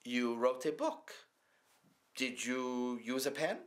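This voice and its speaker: Guy doing a snooty rude talk show host, slow drawl, voice swooping all over. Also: medium pitch, expressive, voice pushed up. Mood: deadpan. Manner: dismissive, abrupt, mocking questions. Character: flamboyant rude male celebrity interviewer in fur coat. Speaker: interviewer. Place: small studio with curtain backdrop.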